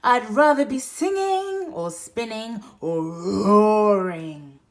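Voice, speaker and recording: Woman storyteller, bedtime picture book, unhurried, very animated pitch, whispers to loud. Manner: expressive, swings between whispers and loud exclaims. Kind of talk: read-aloud bedtime story for toddlers.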